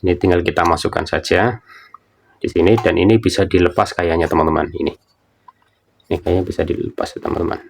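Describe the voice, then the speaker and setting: A deep-voiced man with a creaky edge walks viewers through a product. He explains casually and tends to repeat his points. There is faint noise in the recording. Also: fairly even intonation, quick pace, pauses often. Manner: informal, explanatory, often repeating himself. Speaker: product reviewer. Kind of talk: casual unboxing and product demonstration.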